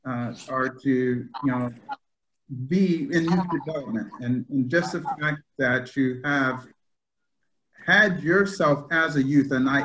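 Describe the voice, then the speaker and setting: A man's voice: a little high, fairly bright tone, slight background noise, low-quality recording. A man interviewing a guest over a video call, asking friendly, rambling questions full of fillers. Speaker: interviewer from a youth club. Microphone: video call mic.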